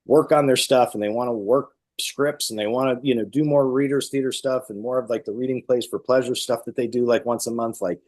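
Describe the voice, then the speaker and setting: A man's voice: mid-range voice, fairly even intonation, fairly quick, few pauses, darkish tone. A man talking casually as an interview guest, explaining things in a conversational way and illustrating points with imagined remarks. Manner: explanatory, illustrating with acted-out quotes. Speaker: stage actor and guest.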